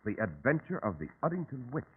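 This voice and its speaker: A male radio announcer with a husky, animated voice. He presents formally and theatrically, in a scripted vintage style, on a tinny old recording. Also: medium pitch, quick pace. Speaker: announcer and host.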